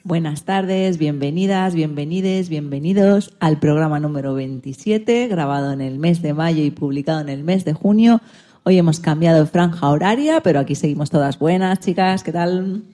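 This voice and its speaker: A woman's voice: deep voice, moderate pace, mellow. A woman hosting a radio program, presenting from a script.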